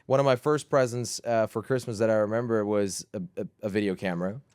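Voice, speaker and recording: A Dutch man in his thirties, a gaming creator chatting casually as a podcast guest, relaxed with lots of fillers. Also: lowish voice, brisk, clean tone, dry recording, studio quality. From southern Netherlands.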